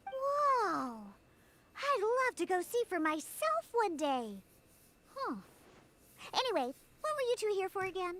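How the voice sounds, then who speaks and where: A woman's voice: extremely high pitch, big pitch swings, measured pace, fairly bright tone, a little hiss or hum, exaggerated voice. A woman voicing a young, feisty, curious cat girl in an anime game, perky and a little bossy. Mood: thoughtful.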